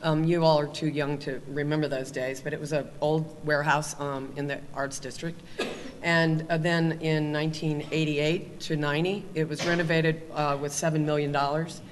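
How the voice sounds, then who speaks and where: An older woman on a panel, telling a story in a halting way with many fillers, on a table microphone in a meeting room. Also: low-pitched, flat delivery, big-room sound, slight background noise. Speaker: panelist, arts administrator. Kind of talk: casual anecdote on a panel.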